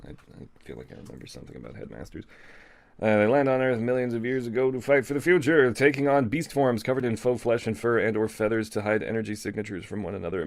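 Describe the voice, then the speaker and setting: Fast-talking man with a lowish voice. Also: no echo. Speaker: co-host reading fan fiction aloud. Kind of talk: casual reading aloud with off-the-cuff commentary.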